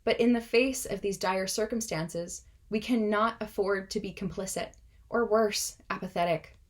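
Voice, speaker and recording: A woman in her twenties, a native Russian speaker, delivering a prepared speech in an earnest, measured, impassioned way. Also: medium pitch. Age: 20s.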